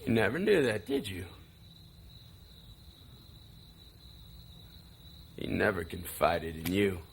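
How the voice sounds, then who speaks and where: An actor playing a taunting, bitter younger brother in a stage play, with a sarcastic, theatrical delivery. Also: male voice, sits low, huge pitch swings, normal speed, gravelly, slight background noise, heavily acted. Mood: agitated. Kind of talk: live stage play acting.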